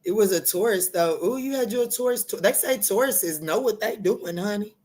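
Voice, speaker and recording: A woman's voice: medium pitch, lively intonation, normal speed, darkish tone. A woman, hosting a casual tarot livestream and chatting loosely with viewers. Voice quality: raspy. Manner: chatty, loose and playful, greeting viewers by name. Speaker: tarot reader and livestream host.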